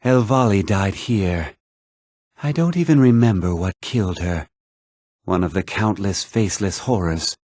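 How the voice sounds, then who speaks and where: A grave, low-voiced older mage. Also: male voice, darkish tone, no echo, studio quality. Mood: remorseful. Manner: measured, formal, theatrical delivery. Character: grave older male mage, stern college leader, haunted by guilt. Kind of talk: dramatic video game voice acting.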